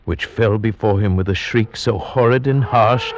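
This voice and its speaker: Actor as deep-voiced, formal gentleman of horror radio. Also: very noisy, lightly acted. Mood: uneasy. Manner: formal, theatrical, deliberate storytelling. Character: anxious gentleman narrator, houseguest reading an old tale aloud. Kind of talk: 1940s radio horror acting. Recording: dull vintage radio recording with music.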